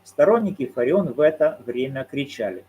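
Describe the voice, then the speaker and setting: A man giving solo commentary on a court case, arguing his points in a measured, deliberate way and answering his own rhetorical questions. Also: medium pitch, lively intonation, slightly rough, phone-quality sound. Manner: argumentative, poses rhetorical questions and answers them.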